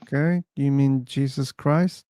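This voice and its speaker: A man's voice: medium pitch, fairly even intonation, unhurried, mellow, clean tone, no echo, studio quality. A man hosting an online discussion about belief, asking probing, teasing questions. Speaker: host. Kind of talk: casual debate chat. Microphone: streaming setup.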